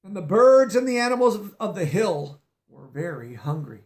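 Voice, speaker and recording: A man's voice: high-pitched, sing-song, moderate pace, a little mellow. A man reading a picture book aloud with expression, stopping now and then to explain words for young listeners. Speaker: storybook reader.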